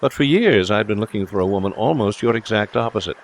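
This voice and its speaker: A man voicing a brash, talkative young gentleman with a complacent, pompously philosophical manner, in a storybook reading. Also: lowish voice, expressive, fast talker, a little hiss or hum. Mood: confident, persuasive. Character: brash, self-assured young gentleman philosopher, cheerfully smitten.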